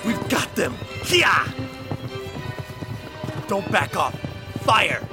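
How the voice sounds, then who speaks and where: An actor playing a stern monster hunter in a radio drama, barking clipped orders to armed riders. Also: male voice, high voice, very animated, extremely slow, full character voice. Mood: urgent, furious. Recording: music behind the voice.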